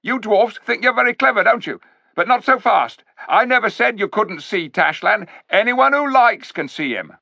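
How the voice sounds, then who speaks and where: A man's voice: high-pitched, animated, moderate pace, vocal fry, sharp tone, dry recording, voice pushed up, heavily acted. A man voicing a sly, bossy male ape who mocks and jeers at the crowd in a storybook reading. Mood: sly mocking. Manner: mocking, jeering, cackling. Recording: dull lo-fi recording.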